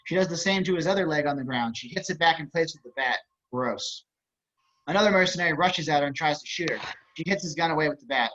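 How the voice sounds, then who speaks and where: A man's voice: fairly high pitch, fast talker, sharp tone. A man narrating stage directions at an online table read, with wry, casual asides. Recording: dull video-call audio.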